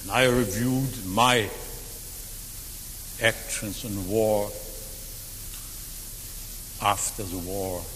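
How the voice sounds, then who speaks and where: An elderly man in his eighties, German by mother tongue, speaks with slow, earnest gravity, swelling into emphatic lines and sinking to hushed ones. His voice carries a long hall reverb with a faint hiss underneath. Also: on the low side, fairly even intonation. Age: 80s. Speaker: war veteran speaker. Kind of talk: testimony talk to an audience.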